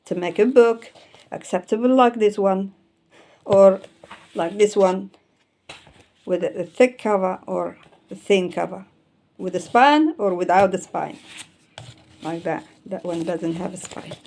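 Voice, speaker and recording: A woman in her fifties whose first language is Arabic talks through publishing and copyright in a loose, chatty way. She circles back with "so therefore" and stops to fix words halfway through. Her pitch sits mid-range for a woman. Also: unhurried, long pauses, darkish tone. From Arabic-speaking country. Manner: rambling, repeats "so therefore", self-corrects words. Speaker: self-published author. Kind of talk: casual explanatory talk, showing books.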